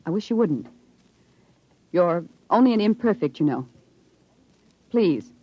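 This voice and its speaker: A woman's voice: fairly low pitch, expressive, a little slow. Muffled radio-era controlled woman scientist. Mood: conflicted. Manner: formal, crisp lecturing diction. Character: cool, controlled woman genetics professor of a rigid future society. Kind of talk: 1950s radio drama acting. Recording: dull, band-limited 1950s radio recording.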